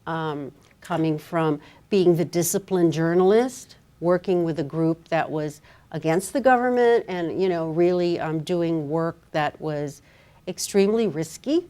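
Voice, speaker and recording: A woman's voice: fairly low pitch, a little mellow. A woman moderating a live literary discussion, asking musing, roundabout questions in a conversational way. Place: live public literary event.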